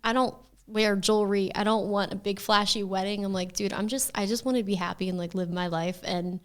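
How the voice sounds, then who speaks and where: A woman in her thirties, a podcast guest chatting casually, laughing often, animated and self-mocking. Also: medium pitch, brisk. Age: 30s. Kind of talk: casual chat.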